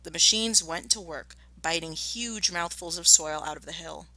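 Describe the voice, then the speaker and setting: Bright-voiced woman teacher reading aloud. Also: lowish voice, normal speed, dry recording, rough recording. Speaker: primary school teacher. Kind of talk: storybook read-aloud lesson.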